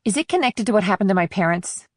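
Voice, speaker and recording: A woman's voice: medium pitch, lively intonation, fast, no room sound, studio quality, natural voice. Woman playing a blunt, inquisitive teenage girl. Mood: curious, probing. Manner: short, probing replies. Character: blunt, inquisitive fourteen-year-old girl. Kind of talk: audiobook character dialogue.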